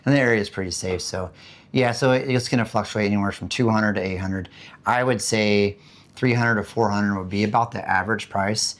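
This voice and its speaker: A man from the United States with a hoarse voice after being sick, chatting casually and rambling about living costs, with many fillers and restarts. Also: on the low side, steady tone, a little fast. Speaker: vlogger. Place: home in the Philippines.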